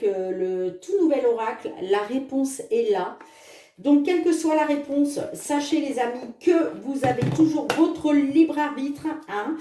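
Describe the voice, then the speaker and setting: A woman's voice: on the high side, dark tone, echoey room, distant mic, a little hiss or hum. A woman reading oracle cards for her viewers in a casual, chatty way, with many filler words. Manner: chatty, fills with "hein" and "les amis"; reads card messages slowly. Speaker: tarot card reader.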